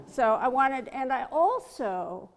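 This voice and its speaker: Older lady artist, soft gravelly voice, takes her time, big echoey gallery hall. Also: sits high, big pitch swings, a crowd in the background. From Toronto, Canada. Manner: slow, storytelling, gently humorous. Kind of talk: artist talk before a live audience. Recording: long hall reverb.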